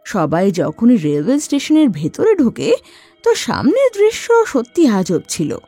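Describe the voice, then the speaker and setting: A woman narrates a scripted story with a very animated, swooping delivery. The voice sits close and dry, with soft music beneath. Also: fairly high pitch, measured pace, breathy edge, no room sound.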